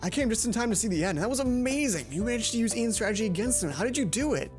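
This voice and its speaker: A cheerful, admiring young man from a visual novel, as a woman reads him. He sounds encouraging and is pitched upward. Loud game music plays underneath. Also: lively intonation, fast, lightly acted. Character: Satch, cheerful, admiring young male friend.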